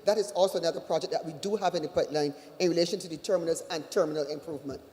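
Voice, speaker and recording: Barbadian woman official, brisk explanatory answer, mid pitch, reverberant hall sound. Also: warm tone, slight background noise. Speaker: official presenting at a public consultation. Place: large indoor meeting hall.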